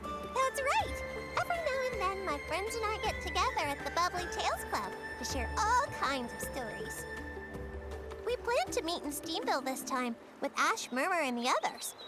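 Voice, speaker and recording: An extremely high, squeaky little game creature, eager and young, who loves telling stories. The delivery is bubbly and theatrical, swinging widely in pitch, with loud game music playing underneath. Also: female voice, sing-song, normal speed. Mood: excited. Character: eager young storyteller creature, chirpy and excitable. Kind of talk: animated video game character acting. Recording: music behind the voice.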